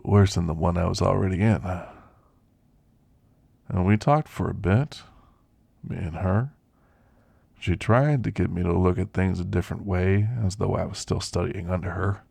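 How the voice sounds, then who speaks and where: A man playing an elderly werewolf who reminisces in a folksy, gentle, unhurried way, in an ASMR roleplay with a gravelly, husky voice. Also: deep voice, fairly even intonation, dry recording, full character voice. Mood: wistful, reflective. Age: older. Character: elderly male werewolf, reflective, gentle storyteller recounting his past.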